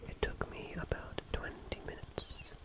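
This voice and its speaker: A young man whispering casual, rambling ASMR in a hushed, gentle manner with long pauses. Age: young adult. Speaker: ASMR host.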